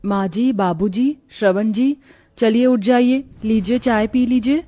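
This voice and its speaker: A woman voicing a devout, gentle young daughter-in-law, patient and steady, in an animated moral story. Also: a little high, measured pace.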